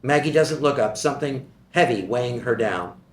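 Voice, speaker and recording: A man reading the stage directions of a screenplay at a table read in a steady, measured voice. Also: medium pitch. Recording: echoey room.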